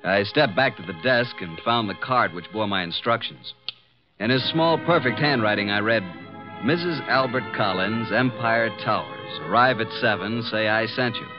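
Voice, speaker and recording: An actor playing a breezy, wisecracking detective's assistant, glib and sarcastic, in old-time radio drama style. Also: male voice, fairly low pitch, moderate pace, music in the background, natural voice. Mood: wry narration. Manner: glib, sarcastic, smooth-talking. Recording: muffled vintage radio broadcast.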